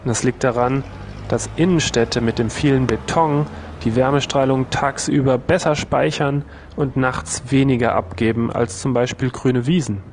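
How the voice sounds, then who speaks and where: A man's voice: medium pitch, normal speed, a little mellow, slight background noise, natural voice. A man playing an earnest hospital worker. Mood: plain. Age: 30s. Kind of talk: scripted first-person testimony.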